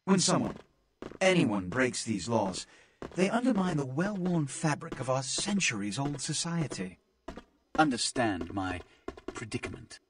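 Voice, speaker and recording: An actor playing a pompous, cold aristocratic vampire ruler in a video game, formal, measured and condescending. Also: male voice, mid-range voice, sing-song, no room sound. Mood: stern, formal. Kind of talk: video game cutscene monologue.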